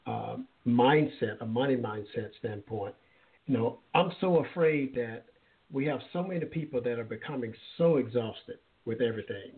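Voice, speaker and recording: A man hosting an interview, asking questions in a halting, affirming way and often repeating words. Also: mid-range voice. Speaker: show host. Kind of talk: casual interview talk. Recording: tinny phone-like line.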